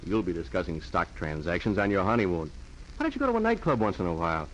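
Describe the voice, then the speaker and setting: Breezy, flippant young rich bachelor, old radio. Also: male voice, low-pitched, big pitch swings, fast talker. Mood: wry. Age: young adult. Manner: breezy, teasing. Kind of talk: 1940s radio acting. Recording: dull, hissy 1940s radio recording.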